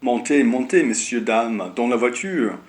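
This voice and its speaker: A man's voice: mid-range voice, animated, a little slow, lightly acted. Man acting a plain-spoken working coachman. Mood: businesslike. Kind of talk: student radio play acting.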